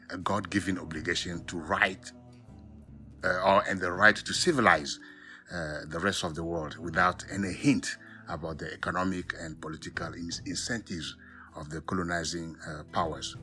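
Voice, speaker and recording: A man from Ghana and Togo with a West African accent, speaking as a professor in a formal, measured academic talk with self-corrections. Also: low voice, pushed and bright. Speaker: professor of African literature, podcast guest.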